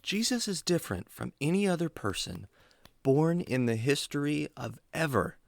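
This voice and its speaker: A man playing a kindly, patient adventurer who explains things gently and earnestly to his animal buddy in a children's radio drama. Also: fairly high pitch, sing-song, moderate pace, vocal fry, no room sound.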